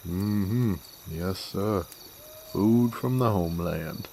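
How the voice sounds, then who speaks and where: Deep, slow-drawling Southern cowboy rogue, acted by a man. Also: background noise. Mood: sly, flirtatious. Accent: American Southern. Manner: drawling, folksy, teasing. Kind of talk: scripted roleplay audio drama.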